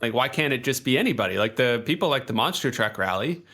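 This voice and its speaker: Bright, sharp-voiced man chatting haltingly. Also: medium pitch, expressive. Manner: halting, frequent "like". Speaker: tabletop game player. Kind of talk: casual improvised in-character chat.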